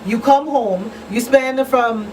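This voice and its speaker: A woman's voice: on the high side, slow pace, darkish tone. A woman hosting a radio talk show in a conversational style. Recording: distant mic, faint room noise.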